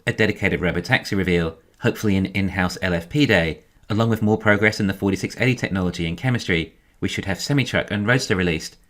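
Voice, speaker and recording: A man giving a scripted financial commentary in a measured, explanatory way. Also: deep voice, a bit bright. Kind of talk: scripted narration, financial analysis.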